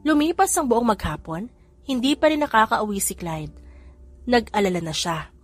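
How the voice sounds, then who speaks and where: A woman narrating a scripted romance audio drama in a steady, measured reading. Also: a little high, soft background music. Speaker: storyteller.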